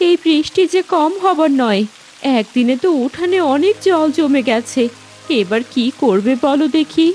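A woman's voice: high voice, expressive, a little slow, a little mellow. A woman voicing a nagging, worried village wife and protective mother in a fairy-tale cartoon. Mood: exasperated, worried.

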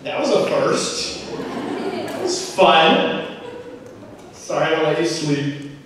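A young male orchestra teacher giving rambling, self-deprecating remarks on stage, halting with fillers. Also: fairly high pitch, expressive, noisy background. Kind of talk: casual remarks to an audience. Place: large school auditorium stage. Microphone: handheld mic, far camera. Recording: echoey hall from far off.

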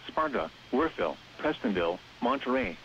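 A synthetic-sounding man reads scripted emergency weather announcements over the radio in a measured, impersonal, clipped way. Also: medium pitch, big pitch swings. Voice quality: synthetic text-to-speech sound. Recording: muffled, hissy weather radio broadcast.

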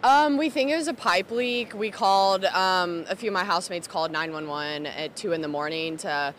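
A woman's voice: fairly low pitch, moderate pace, fairly bright tone. A young woman, a resident, answering a reporter's questions casually outdoors at night, with lots of "um" and "like". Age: young adult. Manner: casual, with fillers like "um" and "like". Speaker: interviewed resident. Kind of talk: casual street interview. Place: flooded street at night, outdoors. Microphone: reporter's handheld mic held out to her. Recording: faint street noise outdoors.

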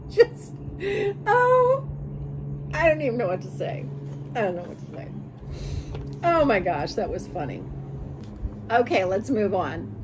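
Animated American woman in her sixties, noisy background. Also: on the high side, takes it slowly, long pauses. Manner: animated, giggly, expressive. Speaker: sewing vlog host. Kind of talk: casual chat to camera, reading out viewer comments. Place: bright curtained porch or sunroom.

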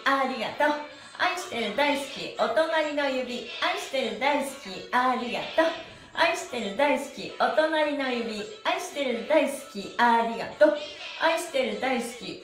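A middle-aged woman with a high voice guides a stretching class. She is polite and bubbly with a sing-song lilt. The room is echoey, with music behind her. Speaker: stretching instructor and livestream host. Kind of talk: cheerful exercise lesson, chatting and counting chants. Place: small indoor studio room, sitting on a mat.

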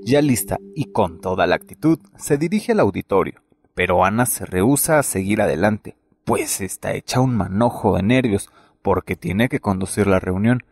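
A man's voice: lowish voice, lively intonation, normal speed, darkish tone, husky, no room sound. A man narrating a radio soap opera from a script.

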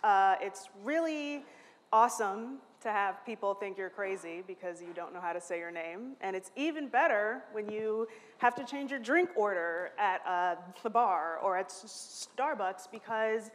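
Woman, 30s, mid pitch, stutters, wry, talking from a stage in a large hall with long echo. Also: a little slow, a little hiss or hum. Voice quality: stutters, with repeated sounds and restarts. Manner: candid and self-mocking. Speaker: conference speaker. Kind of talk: lightning talk.

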